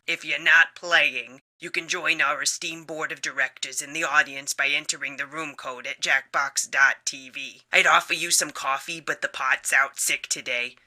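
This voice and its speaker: A man voicing a jaded, grumpy office machine as a video game announcer, with a deadpan, grudging delivery. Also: a little high, normal speed, few pauses. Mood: deadpan, weary. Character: jaded, grumpy male talking office machine, bored employee. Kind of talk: scripted comedic game announcements.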